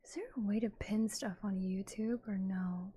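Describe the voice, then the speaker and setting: A woman chatting on a live ASMR stream, moving between breathy whispers and soft speech close to the microphone. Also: mid-range voice, steady tone, normal speed. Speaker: streamer, ASMR roleplayer and voice actor.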